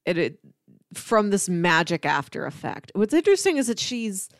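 A woman's voice: mid-range voice, very animated, moderate pace, no room sound, studio quality. A woman podcast host chatting casually about film, often slipping into acted-out imagined quotes.

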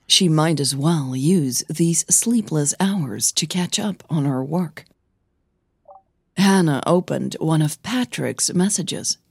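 A woman's voice: low-pitched, takes it slowly, creaky, breathy edge, no echo, studio quality. A woman narrating a fiction audio drama in a scripted storytelling style.

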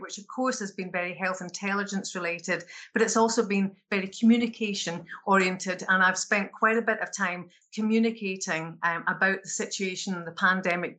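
A middle-aged woman introducing herself to a panel over a video call. Her voice sounds bright and crisp, and her pitch sits in a middling range. She pauses often, with halting filler sounds and restarts. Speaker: panel speaker in health intelligence.

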